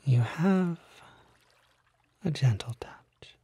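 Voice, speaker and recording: A man voicing a charming, unsettling kelpie in an ASMR roleplay, soft and often whispering, coaxing and flattering with teasing false modesty, close to the microphone. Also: on the high side, very slow, husky, dry recording, exaggerated voice. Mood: seductive, intimate. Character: charming, unsettling male kelpie, smooth-talking seductive lurer. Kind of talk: ASMR roleplay, intimate one-sided acted dialogue.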